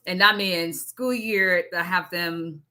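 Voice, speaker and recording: A middle-aged woman with a mixed Nigerian and Southern US accent, an immigration lawyer chatting on a live stream. She talks fast, with lots of asides. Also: fairly low pitch, steady tone, bright, forceful tone. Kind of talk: casual live-stream chat.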